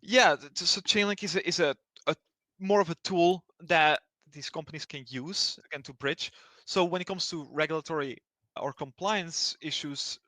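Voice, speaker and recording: A man from Belgium giving explanatory answers as an interview guest. His voice is bright and a little high, and the sound is close and dry. He feels his way through sentences with halting restarts. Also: no echo. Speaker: interview guest speaking for a blockchain project.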